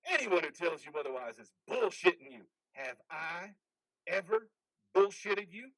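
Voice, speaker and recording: A man performs a ghostly army commander in a play reading. The voice is fairly high for a man and very animated, giving orders with stern, manipulative authority in a dry recording. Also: normal speed, dry recording, heavily acted, rough recording. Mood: bitter, domineering. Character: commanding ghost of a dead male army officer.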